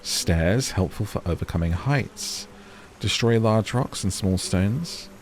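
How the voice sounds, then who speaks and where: A man's voice: lowish voice, lively intonation, slow pace, slight background noise. A man doing casual gaming commentary, chatting and musing to himself and to viewers as he plays and reads out on-screen text. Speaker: gaming video host.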